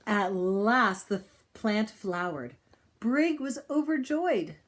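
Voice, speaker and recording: Woman reading a storybook with lively intonation. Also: medium pitch, slow. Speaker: storybook reader. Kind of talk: expressive read-aloud of a children's picture book.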